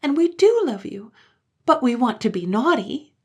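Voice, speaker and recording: A woman voicing a group of eager young children who plead in high, urgent voices. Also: very animated, moderate pace, dark tone, breathy edge.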